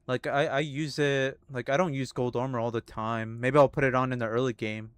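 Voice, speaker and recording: A man's voice: mid-range voice, fairly even intonation, fairly quick, clean tone, dry recording. Laid-back man in his thirties streaming. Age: 30s. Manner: laid-back, swears and laughs easily, talks to chat. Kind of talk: casual live-stream chat while gaming. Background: faint music.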